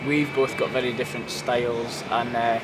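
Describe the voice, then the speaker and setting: A man's voice: medium pitch, level tone, normal speed. A young man from Scotland, a stand-up comedian chatting casually in an interview about his show, rambling along like a storyteller. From Scotland (Aberdeenshire). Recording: street noise outdoors.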